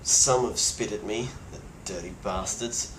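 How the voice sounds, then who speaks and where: A man playing a grim, weary plague survivor, speaking gravely and bluntly in a halting video-diary monologue. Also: fairly low pitch, a bit bright. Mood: grim, wary. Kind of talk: in-character monologue, found-footage video diary. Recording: roomy echo with clear background noise.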